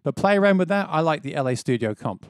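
A man's voice: animated, fast, clear, resonant tone, no room sound. A man in his thirties hosting a casual music gear tutorial, explaining step by step with enthusiasm, on a close dynamic mic in a small home studio. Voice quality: describes his own voice as quite low. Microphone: dynamic mic on a boom close to the face.

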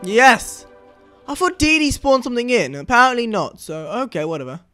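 A young man giving excitable gameplay commentary as the host, exclaiming and often repeating his words. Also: extremely high pitch, moderate pace, clear, resonant tone. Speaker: gaming video host. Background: game music and sounds.

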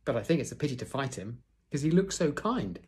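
A fearless little girl with red hair, as a male storyteller puts her on speaks in a raised, lively voice. She is cheeky and matter-of-fact, unimpressed by anyone. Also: medium pitch, brisk, pitched above the performer's own voice, lightly acted. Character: bold cheerful little redheaded girl, very strong.

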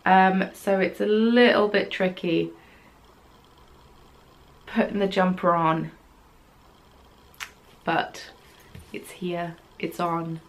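A woman's voice: lowish voice, steady tone, extremely slow, pauses often, a little hiss or hum. A young woman hosting a knitting podcast, chatting casually from her craft room in a rambling, self-deprecating way with plenty of ums. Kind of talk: casual chat. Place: small craft room at home, yarn on the wall behind.

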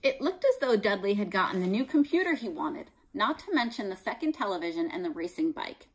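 Lively American woman reading aloud. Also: mid-range voice, a little fast, slightly rough, rough recording. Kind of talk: expressive children's read-aloud with teaching asides. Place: small room at home, indoors.

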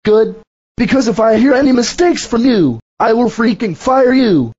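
A man playing a short-tempered coffee shop manager who barks orders and yells at his staff. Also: very high-pitched, animated, moderate pace, slightly rough, lo-fi sound, exaggerated voice. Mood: stern. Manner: yelling, bossy.

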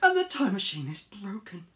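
A woman's voice: fairly low pitch, a bit bright, voice pushed up. A woman voicing an anxious, easily frightened male pig on a storybook adventure, with a worried, panicky manner.

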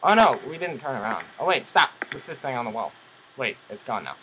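A man's voice: mid-range voice, big pitch swings, fairly quick, bright, forceful tone, a little hiss or hum, poor audio. A young man co-hosting a gaming video in a small room, chatting casually and wryly with a friend. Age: young adult. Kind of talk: casual let's play banter. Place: small home room, indoors.

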